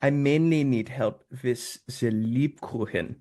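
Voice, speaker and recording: An actor playing a harmless, adorable baker in his eighties, gentle and a little wistful, at a script table read. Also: medium pitch, slow, natural voice. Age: 80s.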